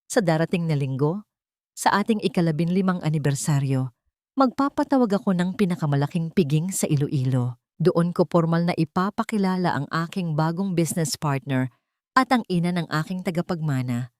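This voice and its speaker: A woman's voice: low-pitched, animated, moderate pace, a little mellow, no echo, clean studio sound. A woman narrator voicing an arrogant, scornful businessman husband who announces boastfully in an audio story. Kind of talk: audio story character dialogue.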